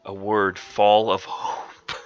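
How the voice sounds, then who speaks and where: A young man streaming a mock-dramatic reading of a comic fanfic, often cracking up with laughter. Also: fairly low pitch. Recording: dull lo-fi stream audio with faint music.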